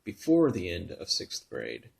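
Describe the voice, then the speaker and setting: Soft-spoken, halting man in his thirties. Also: sits low, wildly swooping pitch, creaky, breathy edge. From Northern California. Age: 30s. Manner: soft-spoken, halting, many pauses and restarts, drops to near whisper. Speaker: vlogger telling his story. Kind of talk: personal confessional vlog.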